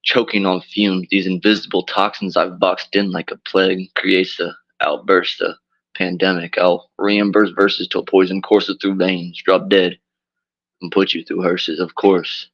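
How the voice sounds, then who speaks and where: A deep-voiced, raspy man performs a dark rap verse at a slow, deliberate pace, a bit drunk and loopy. His delivery stays low and flat. The audio is muffled and lo-fi. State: drunk and loopy after dental surgery. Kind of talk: slow spoken-word rap.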